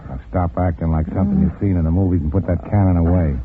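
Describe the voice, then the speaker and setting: An actor playing a cool, sardonic gangster with a clipped, hardboiled manner in a 1940s radio noir drama. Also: male voice, sits low, fairly even intonation, quick pace, close to an everyday voice. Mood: tough, mocking. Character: cool, cynical tough-guy gangster, sardonic. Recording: muffled narrow-band old radio, faint noise.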